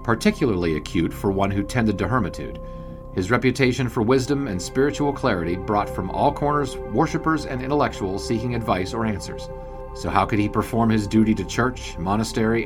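An actor playing a wry 1920s sportswriter, narrating an audio drama in a wordy, grandiose storytelling manner. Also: male voice, lowish voice, close to an everyday voice.

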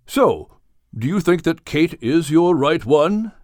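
A genial whale of the old British gentlemanly sort, acted by a male audiobook reader. The speech is courteous and old-fashioned, with animated swings in pitch. The sound is dry and storybook-clear. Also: slow, no room sound. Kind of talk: audiobook character acting.

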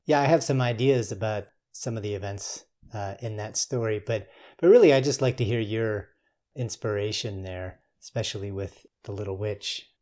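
A man with a moderately low voice hosts a conversational interview. He thinks aloud in a tentative, musing way, building his questions piece by piece. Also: moderate pace, a little mellow. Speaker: interviewer, host.

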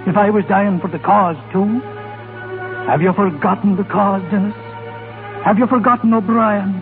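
An actor playing a loyal, excitable Irish rebel sidekick in a 1930s radio drama, earnest and reproachful, with a colloquial brogue. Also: male voice, high voice. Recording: tinny 1930s radio broadcast with music.

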